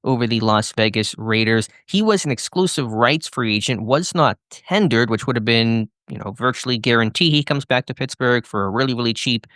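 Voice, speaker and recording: A man's voice: mid-range voice, a little fast, few pauses, a bit bright, dry recording, studio quality. An American man co-hosting a sports podcast, giving fluent, informative analysis in a conversational style.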